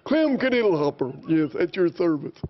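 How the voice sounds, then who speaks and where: A man's voice: a little high, sing-song, normal speed. An older man voicing a goofy, dim-witted country bumpkin in a hooting, singsong way. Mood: giddy. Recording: dull lo-fi TV audio.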